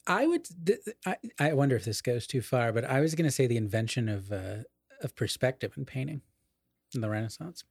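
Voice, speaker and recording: A man's voice: medium pitch, animated, brisk, vocal fry, breathy edge, no room sound. A man co-hosting a casual literary discussion, explaining ideas in an erudite way and qualifying his points as he goes. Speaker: podcast co-host explaining literature.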